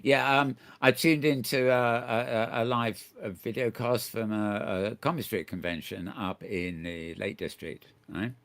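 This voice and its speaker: An older man talks at a loose, easy ramble, his voice low and gravelly. He stumbles and repeats words often as he tells stories on his chat show. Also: fairly even intonation, unhurried, few pauses, a bit bright. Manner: chatty, rambling, many restarts and stammers. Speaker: host. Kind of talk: casual chat.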